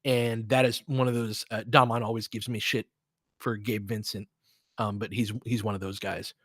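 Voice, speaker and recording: A man's voice: lowish voice, flat delivery, moderate pace, fairly bright tone, no room sound. Casual man calling basketball, dry close sound. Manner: conversational, mildly profane, trailing asides. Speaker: basketball play-by-play host and coach. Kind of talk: casual live game commentary and chat with listeners.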